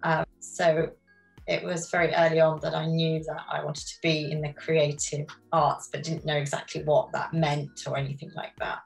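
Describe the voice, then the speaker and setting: A middle-aged woman from London, answering interview questions in a measured, reflective way over a video call from her home studio. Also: sits low, flat delivery, creaky, soft background music, poor audio. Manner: reflective, measured, with frequent ums. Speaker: guest artist. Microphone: video call webcam.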